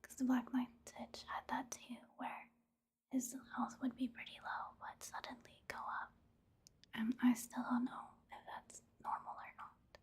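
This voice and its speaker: A woman giving soft-spoken ASMR commentary over gameplay in a breathy, hushed whisper, unhurried. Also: a little high, level tone. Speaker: ASMR video creator narrating her gameplay.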